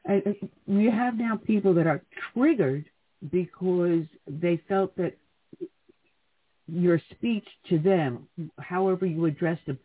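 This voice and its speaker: A man's voice: high voice, takes it slowly, slightly rough. A man co-hosting a conservative talk radio show, telling stories in an opinionated, indignant way. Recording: tinny phone-like sound.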